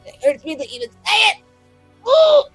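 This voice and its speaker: A woman's voice: extremely high pitch, very animated, normal speed, no room sound, soft background music, voice pushed up. A woman improvising a flippant, dramatic, self-deprecating adventurer in a tabletop roleplaying game. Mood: defensive, joking.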